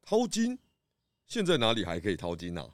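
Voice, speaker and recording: 一位男演員在兒童推理廣播劇中扮演一隻懶散又愛吃的豬探長，說話拖著嘟囔的語氣詞。. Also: male voice, fairly low pitch, wildly swooping pitch, no echo. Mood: puzzled. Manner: grumbling, drawn-out interjections. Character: lazy, food-loving pig detective, adult male. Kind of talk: children's detective audio drama acting.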